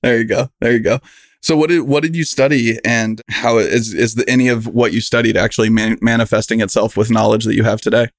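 A man's voice: mid-range voice, steady tone, a little fast, no echo, studio quality. A man hosting a podcast interview, asking loose, rambling questions in a casual chatty way. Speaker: podcast host.

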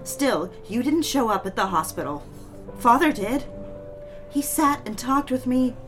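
A woman's voice: fairly high pitch, animated, unhurried, faint music behind. A woman playing a needy, defiant, newly married daughter in an audio drama, theatrical and pleading. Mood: hurt, accusing. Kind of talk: dramatic audio fiction with first-person narration.

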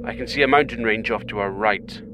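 A man playing a calm, dryly humorous British astronaut captain in a sci-fi audio drama, reporting in clipped, formal phrases. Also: fairly quick, close to an everyday voice. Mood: composed, focused. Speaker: mission captain. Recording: noisy craft rumble behind.

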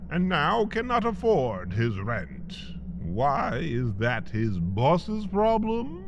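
Theatrical male narrator, wildly swooping pitch. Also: pushed and bright, dull audio, a little hiss or hum. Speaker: podcast host and narrator. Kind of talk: scripted comedic storytelling in second person. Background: faint ambient sound effects.

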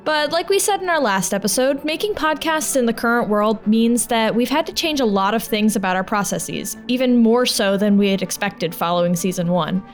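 Woman podcast host, fairly high voice, scripted but informal and wry, music underneath. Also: animated, normal speed, hardly any pauses. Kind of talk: scripted podcast narration with casual asides.

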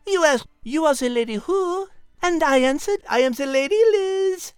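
A woman's voice: high voice, lively intonation, moderate pace, no echo, studio quality, faint music behind. A woman voicing a refined, learned female cat with a French accent and a prim, precise manner in a children's audio drama. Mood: prim, patient. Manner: prim, precise, patient, schoolteacherly.

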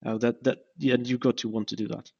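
Man, startup founder, from Ukraine, monotone delivery, fillers and restarts. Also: mid-range voice, brisk, vocal fry, breathy edge. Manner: hesitant, many fillers and restarts. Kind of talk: casual interview talk. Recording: dull lo-fi podcast audio.

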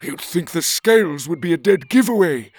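A man voicing a grumpy, proud old blind lizard creature who snarls and huffs, higher than his own voice, in a storybook reading. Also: animated, normal speed, no room sound. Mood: indignant.

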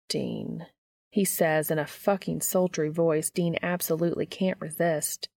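Slow, gravelly woman narrator. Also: on the low side, a little mellow, no echo, studio quality. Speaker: audiobook narrator of fan fiction. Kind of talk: scripted story narration.

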